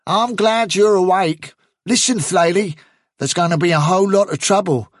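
Man as a brisk, commanding rabbit captain. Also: high-pitched, no echo, clean studio sound, voice pushed up. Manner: clipped officer's commands. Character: brisk military rabbit captain, male, bossy.

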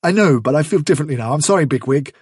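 A man's voice: fairly high pitch, animated, rapid-fire, darkish tone, breathy edge, no room sound, clean studio sound. A man voicing an earnest, protective male rabbit leader in a storybook, speaking in an urgent, conciliatory way. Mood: earnest pleading. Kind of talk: storybook character dialogue.

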